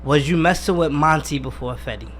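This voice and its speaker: A man's voice: on the high side, brisk, a bit bright, a little hiss or hum. A man hosting a casual radio interview in informal, slangy speech, putting questions to a guest. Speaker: radio host. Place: radio studio.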